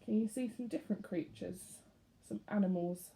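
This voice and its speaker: A woman reading a rhyming picture book aloud expressively to young children, pausing to put questions to them. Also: medium pitch, creaky, mellow, low-quality recording.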